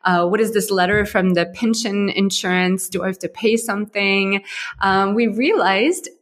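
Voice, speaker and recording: An American woman talks through her experiences as a podcast guest. Her voice sits in a middle register, and she tells her story with animated turns and plenty of small fillers. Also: medium pitch, moderate pace. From Ohio, USA. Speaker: guest, company co-founder. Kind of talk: conversational interview.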